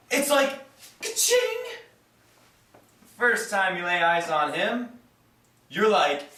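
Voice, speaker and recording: A man's voice: sits high, bright, forceful tone, full character voice. A man performing a stage monologue as a vain, slangy, weary young ship's lookout who breaks into exclamations. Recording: echoey room, far from mic.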